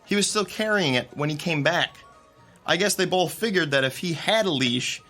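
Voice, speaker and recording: A man playing teasing, bright-voiced crew guy. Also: on the high side, animated, normal speed, faint music behind. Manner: teasing storyteller. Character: joking friendly male theater crew member. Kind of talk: radio drama acting.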